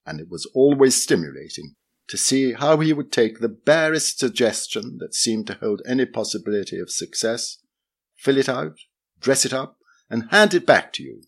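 A man giving a measured, expressive reading of a literary memoir essay. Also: mid-range voice, creaky.

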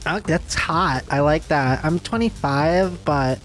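A woman's voice: low-pitched, takes it slowly, faint music behind. A woman doing improv comedy, playing a blunt, crude, spacey rich girl trying to pick up a guy at a bar. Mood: airheaded.

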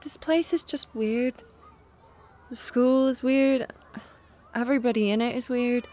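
A woman's voice: on the high side, a little slow, dark tone, close to an everyday voice. A woman playing a young schoolboy in an audio drama, sullen and evasive, with terse, matter-of-fact answers. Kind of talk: naturalistic radio drama acting.

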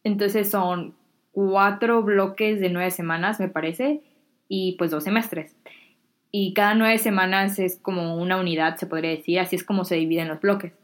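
A woman's voice: mid-range voice, steady tone, moderate pace. Chatty Mexican teen girl vlogging. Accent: Mexican Spanish. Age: teens. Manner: chatty, candid, self-deprecating, uses Mexican slang. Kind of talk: casual talk to camera.

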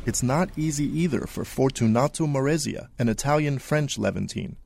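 A man narrates a radio news report with a measured, steady delivery. His voice sits in a middle register. He reads the script evenly. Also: medium pitch, gravelly, a little hiss or hum.

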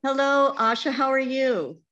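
A woman's voice: sits high, unhurried, fairly bright tone, dull audio. A woman hosting a conversational interview, asking questions and chatting with her guest.

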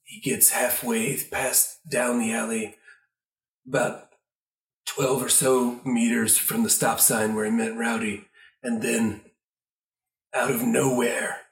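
Halting male narrator in an echoey room. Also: mid-range voice, flat delivery, distant mic. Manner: rambling, halting, trailing off. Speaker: narrator of an improvised radio play. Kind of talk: improvised story narration.

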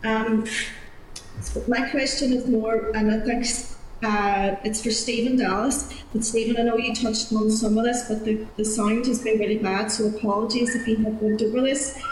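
A woman's voice: on the high side, fairly even intonation, moderate pace, darkish tone. A woman with a Northern Irish accent, deputy chairperson earnestly putting questions to a committee hearing. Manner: earnest, repeats words while searching. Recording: echoey room with faint noise.

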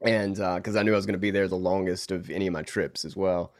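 A man's voice: deep voice, flat delivery, quick pace, dry recording, clean studio sound. A man chatting as a podcast guest, stuffed up from a recent illness, telling stories with lots of fillers and laughs. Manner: rambling, laughing storyteller with many fillers. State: congested, recovering from illness. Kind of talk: casual interview chat.

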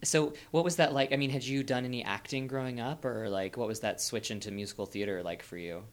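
A man hosting a dance podcast, asking rambling, hesitant questions in a relaxed chat. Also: medium pitch, a little fast. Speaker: podcast host. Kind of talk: conversational interviewing.

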